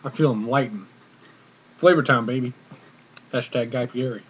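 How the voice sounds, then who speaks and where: A young man chatting casually to camera in a cocky, slangy, animated way, like a comedic vlogger. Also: medium pitch, moderate pace, slightly rough. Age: young adult. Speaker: vlogger, prank caller. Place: small room indoors. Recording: dull webcam audio with noticeable hiss.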